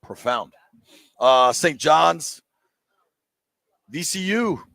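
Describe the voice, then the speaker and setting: A man's voice: a little high, animated, drawn-out words, creaky, fairly bright tone, no room sound. A man hosting a live sports radio show, joking and teasing while running through bracket picks. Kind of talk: casual sports radio banter. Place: sports bar, live broadcast.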